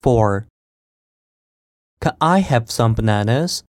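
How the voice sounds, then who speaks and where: A woman reading a scripted language listening test with careful, deliberate enunciation. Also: extremely low pitch, expressive, darkish tone, dry recording, clean studio sound.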